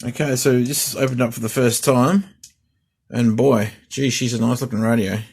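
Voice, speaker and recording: A man's voice: mid-range voice, fairly even intonation, moderate pace, darkish tone, breathy edge. Easygoing man, rambling. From Australia. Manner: rambling, easygoing, many fillers and restarts. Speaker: hobbyist vlogger. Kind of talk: casual unboxing and repair vlog.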